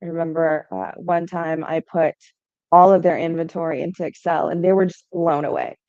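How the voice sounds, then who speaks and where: A young adult woman from Hawaii speaks as a guest founder in a podcast interview. Her voice sits low and mellow, and she talks conversationally, often adding "um" and "right?". The recording is dry and a little dull. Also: steady tone, moderate pace, no echo. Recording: dull lo-fi sound.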